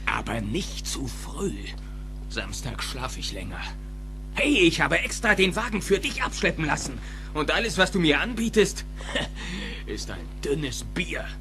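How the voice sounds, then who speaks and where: Audio drama bully, male, played by an actor: mocking, domineering supervisor, gravelly and animated, taunting delivery, music underneath. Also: a little high, unhurried, heavily acted. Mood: smug, taunting. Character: loud sneering bully supervisor, adult man, domineering and mocking. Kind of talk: German audio drama film dub acting.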